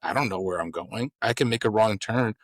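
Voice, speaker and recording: A man's voice: fairly low pitch, a little fast, dry recording, studio quality. A young adult man with an American accent, a guest in an interview, talking earnestly and stammering over repeated words. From United States. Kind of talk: casual interview chat.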